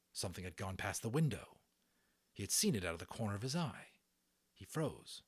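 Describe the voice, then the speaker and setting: A man's voice: on the low side, breathy edge. A man narrating a children's audiobook as a dramatic reading, with casual explanatory asides.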